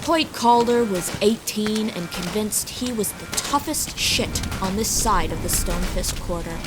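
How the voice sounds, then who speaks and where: A woman's voice: mid-range voice, a little slow, over music. A woman reading scripted fantasy story narration as the narrator. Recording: steady rain and storm ambience behind.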